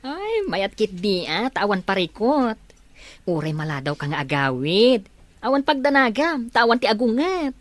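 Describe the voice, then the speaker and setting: A woman's voice: medium pitch, very animated, unhurried, no echo. A woman playing a playful, persuasive drinking buddy in an Ilocano audio drama. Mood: playful, coaxing.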